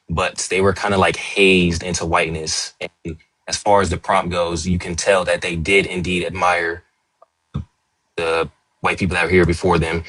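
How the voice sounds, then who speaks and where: A man's voice: low voice, fairly bright tone, no room sound, poor audio. A man arguing his point steadily and earnestly as a panelist in a live online debate. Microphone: remote call audio.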